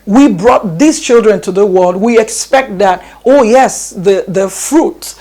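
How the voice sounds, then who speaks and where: A woman with a Nigerian accent speaks as an interview guest. She is earnest and emphatic and often circles back over the same phrase. Her voice sits at a middle pitch. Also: slow, dark tone. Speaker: education guest. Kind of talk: interview answers.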